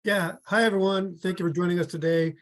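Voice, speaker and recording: A man, a regional wildlife manager, introducing himself quickly on a video call. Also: high voice, fairly even intonation.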